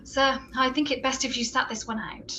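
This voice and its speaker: A woman playing an earnest, bossy gadget-expert sidekick in a comedic radio play, brisk and deadpan. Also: high voice, a little hiss or hum, low-quality recording. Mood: earnest, firm.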